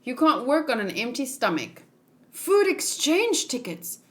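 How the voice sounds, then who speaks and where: A woman's voice: high voice, sing-song, measured pace, a bit bright, full character voice. A woman voicing a brash, easygoing young male pirate hero from a manga, speaking bluntly and casually. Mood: breezy.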